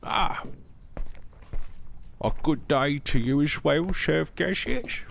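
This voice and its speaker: An actor playing a stern, proud royal advisor who speaks formally and with clipped authority. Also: male voice, fairly high pitch, slow, creaky, slight background noise. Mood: stern, authoritative. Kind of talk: audio drama acting.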